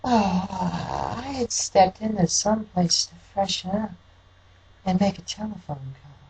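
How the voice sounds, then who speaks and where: Man putting on a hushed, higher-pitched noir dame. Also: very animated, slow, vocal fry, a little hiss or hum, heavily acted, low-quality recording. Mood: coy. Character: soft-spoken woman, noir dame on the telephone. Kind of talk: noir radio play acting.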